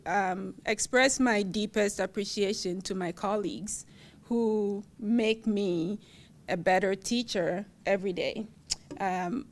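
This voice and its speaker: A middle-aged woman from Ghana with a Ghanaian accent, a professor giving an award acceptance speech at a university event, with frequent laughter and fillers. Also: mid-range voice. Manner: warm, laughs often, with fillers. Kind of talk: speech at a podium.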